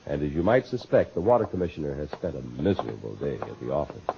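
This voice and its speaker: A man's voice: extremely low pitch, big pitch swings, fast, creaky, heavy background noise. A man working as a 1940s radio announcer, reading advertising copy and narration in a polished, persuasive way. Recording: dull 1940s radio recording.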